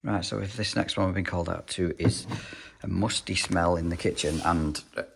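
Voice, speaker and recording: A man with a low, deep voice talks through a plumbing job as he does it, matter-of-fact and practical. He records on a phone up close, with tool clicks and background noise around him. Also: steady tone, normal speed, creaky. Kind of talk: working vlog narration. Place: customer's home and inside his van.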